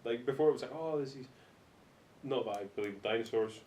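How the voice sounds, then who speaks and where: A man's voice: quick pace, warm tone. A man chatting bluntly and informally about films among a group. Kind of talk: casual group film chat. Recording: echoey room with low rumbling noise.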